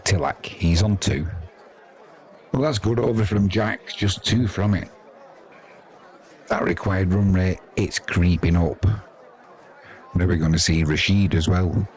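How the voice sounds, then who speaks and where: A man's voice: low-pitched, a little slow, long pauses, a little mellow. An older British man giving casual ball-by-ball cricket commentary in a chatty, rambling, colloquial way. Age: 50s or older. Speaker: sports commentator. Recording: crowd noise behind the voice.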